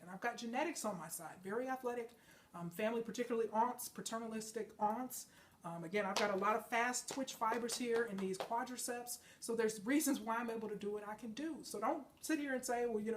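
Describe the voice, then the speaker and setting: Woman fitness coach, quick and a bit high, preachy and emphatic, congested, a little off-mic. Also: recorded from a distance. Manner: emphatic, preachy, keeps checking in with "okay?" and "folks". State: congested from a chest and sinus infection. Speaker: fitness trainer and motivational speaker. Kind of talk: motivational talk to camera.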